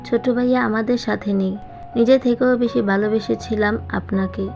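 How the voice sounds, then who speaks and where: A woman's voice: fairly high pitch, fairly even intonation, normal speed, gravelly, darkish tone. A woman narrator plays an angry young man in an audio story. He speaks in bitter accusation through clenched teeth, protective and wounded. The sound is slightly dull, with music running behind. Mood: bitter anger.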